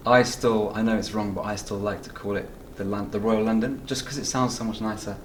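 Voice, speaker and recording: Fast-talking young man hosting an interview. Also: fairly low pitch, fairly even intonation, reverberant, far from the mic, slight background noise. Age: young adult. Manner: quick and cheerful, lots of mm-hmms. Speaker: student society president, interviewer. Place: dental school, indoors.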